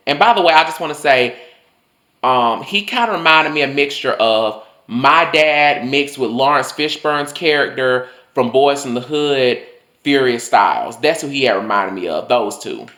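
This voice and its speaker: A man's voice: lively intonation, normal speed, bright, forceful tone. Casual young male vlogger, talky and profane, mid-range voice that drifts up and down; roomy echo. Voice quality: voice swings higher and lower. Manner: chatty, loose, full of "like" and swearing. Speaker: vlogger telling personal stories. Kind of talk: casual storytelling monologue.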